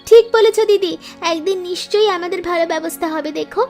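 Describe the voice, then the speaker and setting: Woman voicing a very high-pitched, eager younger sister. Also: quick pace, a little mellow, soft background music. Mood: affectionate. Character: eager, affectionate young younger sister, poor flower seller. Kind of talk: Bengali cartoon moral-story dialogue.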